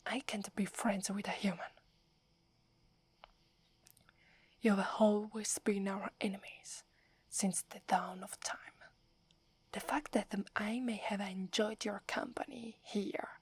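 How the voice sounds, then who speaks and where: A woman with an Italian accent voicing a proud young werewolf woman, whispering softly close to the mic and stammering when flustered. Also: medium pitch, husky, no room sound, heavily acted. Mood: stern, guarded. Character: proud young werewolf woman, gruff but secretly fond. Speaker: ASMR roleplay performer. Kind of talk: whispered ASMR roleplay.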